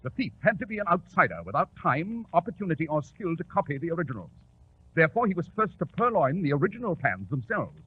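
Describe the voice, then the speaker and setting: A man playing a shrewd, commanding detective in 1940s radio drama, explaining with crisp authority. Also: fairly high pitch. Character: shrewd, commanding English detective, coolly superior. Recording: tinny, noisy 1940s radio recording.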